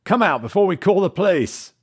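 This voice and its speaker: A man voicing an angry, fed-up man exploring a dark cellar in a horror story, with blunt exclamations. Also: high-pitched, animated, a little fast, slightly rough, no echo, clean studio sound, pitched above the performer's own voice. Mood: angry, threatening. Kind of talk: dramatised horror story dialogue.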